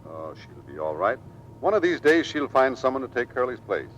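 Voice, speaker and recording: An actor playing a steady, affectionate navy commander in a 1940s radio drama. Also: male voice, normal speed, lo-fi sound, natural voice. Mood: busy, firm. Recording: noisy old radio broadcast, low rumble.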